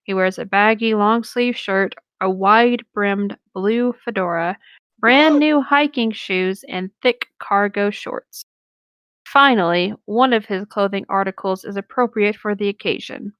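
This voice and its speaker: A woman's voice: medium pitch, a little slow, fairly bright tone, clean tone, no room sound. Casual woman reading aloud, dry close mic. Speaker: co-host reading a story aloud. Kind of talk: casual story narration and banter.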